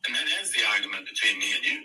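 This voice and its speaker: A man's voice: lowish voice, expressive, racing, bright, forceful tone. A man making an emphatic, plain-spoken argument to an audience, heard from an old archive clip. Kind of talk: argumentative address. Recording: tinny radio or phone-line archive recording.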